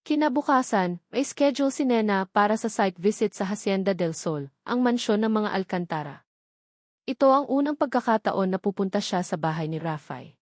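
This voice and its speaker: A woman's voice: mid-range voice, lively intonation, normal speed, dry recording. Filipino woman narrating a story, dry sound. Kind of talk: scripted romance story narration.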